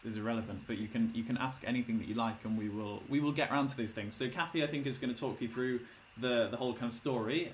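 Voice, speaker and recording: A man hosts a live stream and reads out viewers' chat in a mid-pitched, halting way, with lots of repeated words and fillers. Faint noise hums behind him. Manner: hesitant, repeats words, relays chat comments. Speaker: host and chat moderator.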